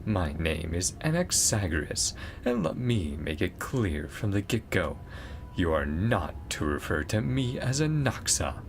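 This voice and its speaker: A man's voice: low-pitched, sing-song, unhurried, vocal fry, slight background noise, voice pushed down, heavily acted. A man voicing a pedantic, imperious male professor from a game, formal and exacting as he lectures. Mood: stern, exacting.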